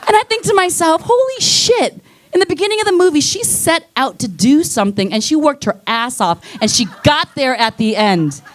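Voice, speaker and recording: A woman's voice: high-pitched, very animated, moderate pace. A young woman performing a stand-up set in a comedy club, rambling, swearing casually and laughing at her own slips. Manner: rambling, self-deprecating, loses her place and laughs at herself.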